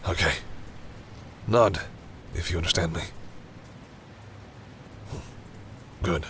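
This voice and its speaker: A man playing a commanding vampire in an audio roleplay, haughty and deliberate, menacing yet reluctantly caring toward humans. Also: low voice, a little hiss or hum. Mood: calmly controlling. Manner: haughty, deliberate, often drops into hushed brooding. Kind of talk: dramatic audio roleplay addressed to the listener.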